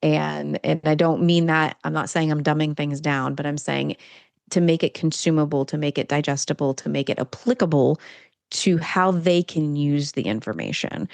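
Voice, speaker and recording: Low, gravelly woman, eager guest. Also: fairly even intonation, moderate pace, a little mellow, no room sound. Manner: eager, affirming, explains in lists. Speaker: guest author and coach. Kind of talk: casual interview chat.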